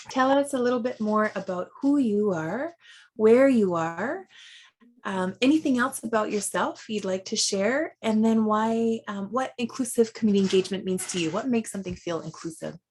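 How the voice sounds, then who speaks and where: A woman moderating a virtual panel, speaking in a conversational, reflective way with soft fillers over a video call. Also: mid-range voice, lively intonation, a little slow, breathy edge.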